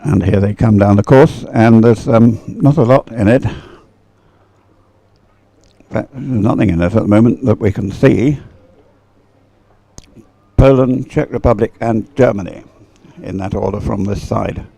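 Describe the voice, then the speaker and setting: A male sports commentator with a fairly low, mellow voice and a noticeable gravelly creak. He calls boat races live in an unhurried, explanatory manner, often hesitating as he searches for the next detail. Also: no echo. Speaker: race commentator.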